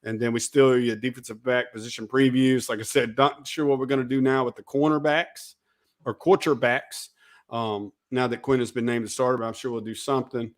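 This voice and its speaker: Man hosting a sports chat show, a bit hoarse, relaxed and chatty, mid-pitched voice. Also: a little fast. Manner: easygoing, thanking viewers in a plain chatty way. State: slightly hoarse. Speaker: host. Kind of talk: casual sports talk show.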